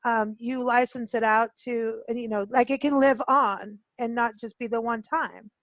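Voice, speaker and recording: Higher-voiced woman hosting casual chat. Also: fairly even intonation, normal speed, fairly bright tone, no room sound. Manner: informal, rambling questions. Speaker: radio show host.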